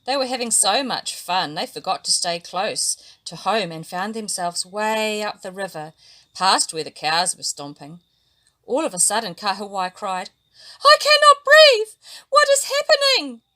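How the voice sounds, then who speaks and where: A woman from New Zealand reading a children's story aloud with animated, lively storytelling. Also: medium pitch, moderate pace. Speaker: storybook reader. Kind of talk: expressive children's story narration.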